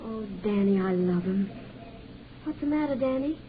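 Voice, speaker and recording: A woman's voice: mid-range voice, lively intonation, moderate pace, natural voice. An American actress playing a tender, naive working-class young woman in love, earnest and colloquial, in 1940s radio drama style. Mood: eager, wistful. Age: young adult. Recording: noisy, tinny 1940s radio broadcast.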